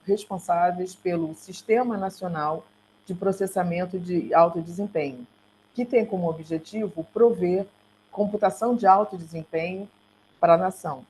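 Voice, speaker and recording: A woman's voice: lowish voice, darkish tone. Brazilian woman presenting over a noisy call. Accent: Brazilian Portuguese. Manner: halting, self-correcting delivery. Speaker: research centre coordinator giving a talk. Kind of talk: slide presentation on an online call. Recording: noisy online call with hum.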